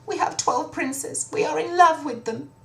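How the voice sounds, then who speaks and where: Woman as a high, petulant young princess. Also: unhurried, creaky, roomy sound. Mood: pleading. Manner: petulant, high-pitched protesting. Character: indignant, pleading young princess daughter.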